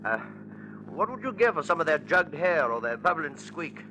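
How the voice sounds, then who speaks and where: A man's voice: fairly high pitch, sing-song, normal speed, noisy background. An actor playing a genial, talkative Englishman in an old radio drama. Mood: chatty. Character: chatty, genial Englishman on a road trip. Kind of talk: 1940s radio acting. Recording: dull old radio broadcast with music.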